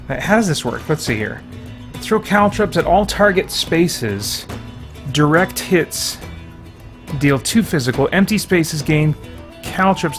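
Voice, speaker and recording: A man giving casual video game commentary, thinking aloud in a halting way as he plays and reviews. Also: fairly high pitch. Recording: game music behind the voice.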